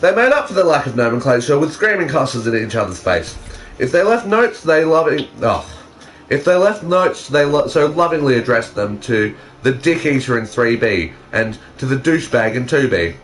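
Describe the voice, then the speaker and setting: A man's voice: mid-range voice, big pitch swings, moderate pace, rough recording. A man with a mid-range voice reading fan fiction aloud in a casual, unpolished way. He swears freely and drops in dry, teasing remarks like a co-host among friends. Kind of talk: casual banter and amateur read-aloud.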